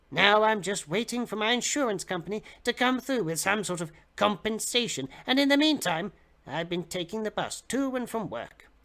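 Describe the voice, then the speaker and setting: A man with a bright, forceful voice delivers a dramatic story reading. His pitch swings widely from phrase to phrase, and he treats the narration with theatrical, mock-serious weight. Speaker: reader of comic fiction on a livestream. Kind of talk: dramatic audiobook-style reading.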